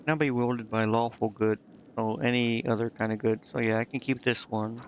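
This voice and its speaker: A man's voice: mid-range voice, steady tone, normal speed, dull audio, noisy background. A man streaming a video game, thinking aloud in a casual, offhand and indecisive way. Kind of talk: casual live gameplay commentary, thinking aloud.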